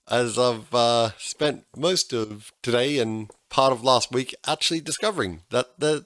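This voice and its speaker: A man, slightly hoarse because he is losing his voice, talking through a game-building tutorial in a chatty way with frequent restarts. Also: mid-range voice, a bit bright, no room sound. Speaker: gaming tutorial host. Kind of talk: casual game tutorial voice-over.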